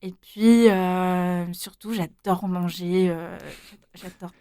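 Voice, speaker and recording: A woman's voice: lowish voice, fairly even intonation, slow pace, mellow, clear, resonant tone, dry recording, studio quality. A young woman answering interview questions casually, hesitating often with fillers and laughing easily. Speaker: interviewee, former volunteer abroad.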